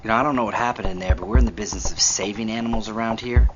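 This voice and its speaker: An actor playing a blunt male animal-hospital colleague in a video game, speaking in a halting, pointed, mock-apologetic way. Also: lowish voice, steady tone, close to an everyday voice. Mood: reproachful. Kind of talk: scripted video game cutscene acting. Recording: dull lo-fi game audio, loud music.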